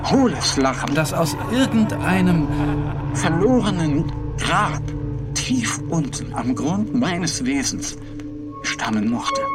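A man playing a tormented, half-mad painter in a dramatic literary narration, speaking with slow, halting intensity. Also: on the high side, heavily acted. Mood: unhinged, intense. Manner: slow, halting, intense, dropping at times to whispers.

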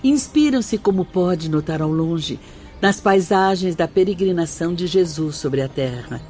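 A gentle spirit sister. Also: female voice, fairly low pitch, expressive, unhurried. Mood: serene. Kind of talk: radio drama acting. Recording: radio drama with music underneath.

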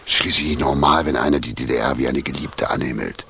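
An actor playing a grumbling, sardonic Berlin drifter in a radio drama, with a gravelly, husky voice and a dry delivery. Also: male voice, very deep voice, steady tone, fast, pushed and bright, full character voice. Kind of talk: 1980s German radio drama acting.

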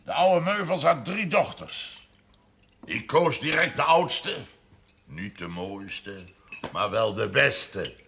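An actor playing a proud, blunt, domineering sixty-year-old farmer in a seventies television drama. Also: male voice, mid-range voice, expressive, gravelly, sharp tone, heavily acted. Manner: blunt, commanding, deliberate storytelling. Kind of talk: 1970s Dutch television drama acting. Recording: noisy old television soundtrack.

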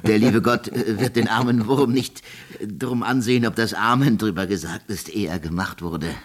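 An actor playing a poor, submissive common soldier in a radio drama, halting, deferential and plain-spoken. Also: male voice, lowish voice, lightly acted. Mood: halting, earnest. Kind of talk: German radio drama acting.

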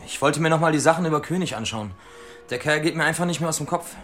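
An actor playing a chatty, inquisitive police colleague in a German radio play. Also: male voice, a little high, fast talker, fairly bright tone, faint music behind, close to an everyday voice. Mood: casual, curious. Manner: casual, inquisitive.

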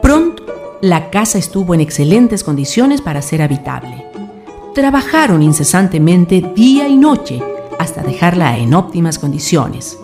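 A woman's voice: low voice, mellow. A woman reading scripted narration for a radio drama.

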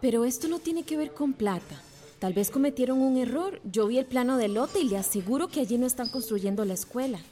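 Actress playing determined young teacher woman in a radio soap, highish voice, earnest, keeps pushing. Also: expressive, a little fast, warm tone, soft background music, close to an everyday voice. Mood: firm, polite. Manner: insistent, earnest. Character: determined, questioning young female village schoolteacher. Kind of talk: radio soap opera acting.

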